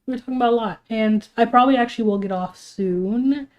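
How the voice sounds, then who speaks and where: A woman's voice: fairly high pitch, fairly quick. Sniffling woman ranting on stream. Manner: heated, rambling, many restarts and fillers. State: sick and sniffling. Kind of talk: casual livestream rant.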